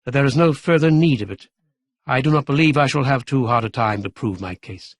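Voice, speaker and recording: A man voicing a calm, formal young man who speaks in a measured, solemn way. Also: medium pitch, lightly acted.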